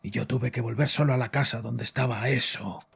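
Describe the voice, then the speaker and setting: An actor playing a distraught, domineering father in an audio drama, with a gravelly, husky voice and a halting, anguished manner of confessing. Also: medium pitch, fairly even intonation, a bit bright, heavily acted. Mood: haunted.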